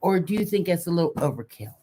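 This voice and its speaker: An older woman co-hosting a casual live chat, telling animated stories and laughing often. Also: lowish voice, fast talker. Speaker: livestream co-host.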